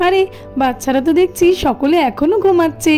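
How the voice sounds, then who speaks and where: A woman's voice: extremely high pitch, a little fast, a little mellow, soft background music. A woman voicing a caring mother who chats to herself while tidying, in a cartoon story. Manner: chatty, talks to herself. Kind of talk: cartoon voice acting.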